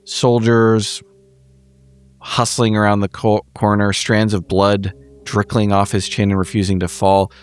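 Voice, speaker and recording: A man giving a casual spoken recap of a horror roleplaying story, in measured, wry phrasing. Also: on the low side, level tone, clear, resonant tone. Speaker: podcast player giving the episode recap.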